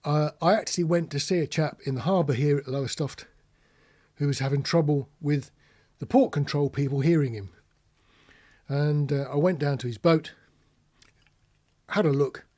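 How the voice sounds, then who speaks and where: A man's voice: a little high, moderate pace, a little mellow. A British man giving an informal, practical tutorial, explaining things plainly with anecdotes as an instructor. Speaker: marine radio instructor.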